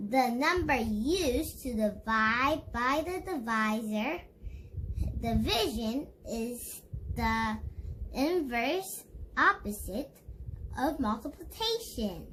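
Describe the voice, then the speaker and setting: Child reader, very animated intonation, slow deliberate pace, instructive read-aloud, faint background noise. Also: medium pitch, rough recording. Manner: deliberate, instructive, addresses viewers directly. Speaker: host reading a children's book aloud.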